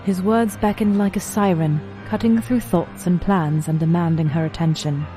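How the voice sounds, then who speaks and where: A woman's voice: lowish voice, fairly even intonation, normal speed, over music, poor audio. A woman narrator reading scripted story narration for an audio drama.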